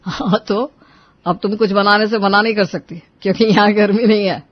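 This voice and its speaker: A woman's voice: mid-range voice, fairly even intonation, normal speed, no room sound, dull audio, natural voice. A woman voicing a fussy, demanding husband in a cartoon family story. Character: fussy, demanding husband, adult office worker. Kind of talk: cartoon family drama acting.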